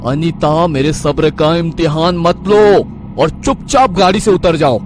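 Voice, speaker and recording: A man playing a harsh, high-pitched angry husband. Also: a little slow, close to an everyday voice. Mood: menacing. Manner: harsh, commanding, contemptuous. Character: Sunil, angry, cruel, domineering husband. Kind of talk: Hindi horror story character acting. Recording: noisy, with car and rain ambience.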